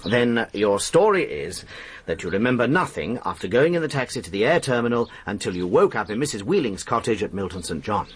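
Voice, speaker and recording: An actor playing a brisk junior police detective in a radio drama, pressing and plain-spoken, deferential to his superior. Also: fairly low pitch, very animated. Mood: sceptical. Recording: faintly noisy archive radio drama.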